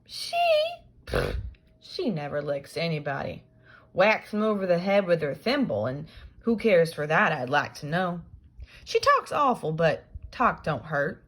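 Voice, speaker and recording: A woman's voice: low-pitched, big pitch swings, unhurried, fairly bright tone, heavily acted. A woman reading aloud and voicing a mischievous young boy who coaxes and brags to get out of a chore. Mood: coaxing. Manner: coaxing, wheedling, boastful.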